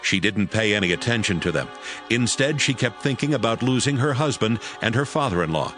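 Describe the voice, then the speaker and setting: A man's voice: lowish voice, expressive, a little fast, music in the background. A man narrating a dramatized audiobook as a scripted storyteller.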